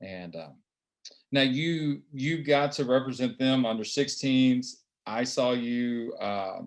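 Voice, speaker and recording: Halting male interviewer. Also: mid-range voice. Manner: halting, many fillers and repeated words. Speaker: basketball scout and interviewer. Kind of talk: interview host.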